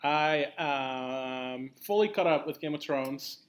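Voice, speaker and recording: A man's voice: medium pitch, slow. A young man with a slight Mexican accent, co-hosting a casual podcast and explaining things at length with many fillers. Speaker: podcast co-host. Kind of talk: casual chat.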